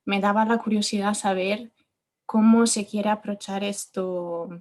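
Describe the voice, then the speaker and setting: A young Italian woman, not a native speaker, asks a question at an online talk in an Italian accent. She is polite and a little hesitant as she works through her words. Her voice comes over a video call at a middle pitch. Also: fairly even intonation, unhurried, a little mellow. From Italy. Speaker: audience member asking a question.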